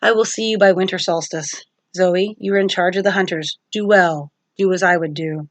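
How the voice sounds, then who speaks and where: A woman's voice: lowish voice, fairly even intonation, normal speed, no echo, close to an everyday voice. A woman voicing a stern goddess huntress in a storybook, a protective sister speaking in a curt, formal way. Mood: commanding.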